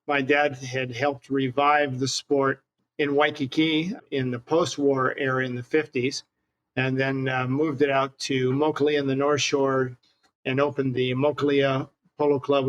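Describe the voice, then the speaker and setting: A man's voice: medium pitch, flat delivery, moderate pace, rough recording. An older man from Hawaii with an American accent, casually reminiscing as an interview guest. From Hawaii, United States.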